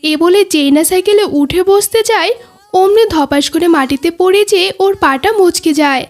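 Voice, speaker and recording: A well-behaved little schoolboy voiced by a woman with a very high voice. He is courteous and earnest, carefully explaining things in a children's cartoon style. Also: normal speed. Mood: polite, explaining. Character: dutiful, polite, well-behaved schoolboy friend. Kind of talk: cartoon dialogue.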